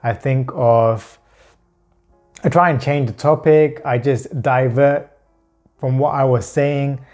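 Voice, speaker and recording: Man, stutter, blocks and repeated words, very slow halting talk, faint music behind. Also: medium pitch, fairly even intonation, a little mellow. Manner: slow, halting delivery with long pauses. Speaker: vlogger talking about his stutter. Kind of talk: personal talk to camera.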